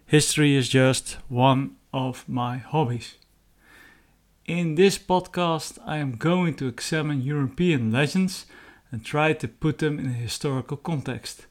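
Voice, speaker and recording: A man's voice: a little high, unhurried. A man with a Dutch accent, a native Dutch speaker, hosting his own podcast in plain, earnest talk with hesitant fillers. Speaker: podcast host. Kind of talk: casual solo podcast talk.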